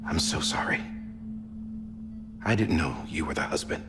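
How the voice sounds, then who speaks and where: A man's voice: very deep voice, moderate pace, in a whisper. An actor playing a solemn, troubled military man in a game cutscene. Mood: surprised, apologetic. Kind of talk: dramatic video game cutscene acting. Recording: music under the voice.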